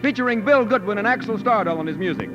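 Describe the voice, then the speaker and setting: A man's voice: high-pitched, lively intonation, quick pace. An American man reading a formal 1940s radio sponsor announcement. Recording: muffled 1940s radio broadcast over music.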